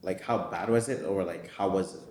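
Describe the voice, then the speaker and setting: A man's voice: sits low, brisk, a little mellow, roomy sound. A man hosting a casual interview, hesitant and searching for words, swearing casually. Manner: hesitant, many fillers and restarts, swears casually. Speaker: podcast host.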